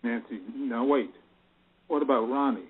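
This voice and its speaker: A man's voice: mid-range voice, moderate pace, lightly acted. A man playing a cornered, exasperated bank boss in an audio play, pleading and protesting. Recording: tinny phone-like sound, clear background noise.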